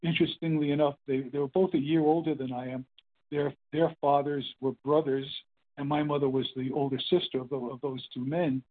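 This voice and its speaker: An older man in his eighties sharing his story at a meeting, rambling and halting with many fillers and restarts. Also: a little high, little pitch movement. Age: 80s. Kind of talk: casual spoken testimony. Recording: phone-line call.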